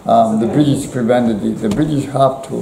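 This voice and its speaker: A man with a Cypriot accent hosting a casual conversation, earnest and full of halting restarts. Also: medium pitch, steady tone, creaky. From Cyprus. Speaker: host of a theatre venue. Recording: echoey room with crowd chatter.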